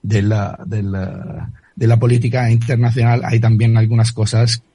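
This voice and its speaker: A man's voice: medium pitch, level tone, normal speed, dark tone, husky. A man from Italy with an Italian accent, a guest on a radio interview who often repeats words while searching for the right term.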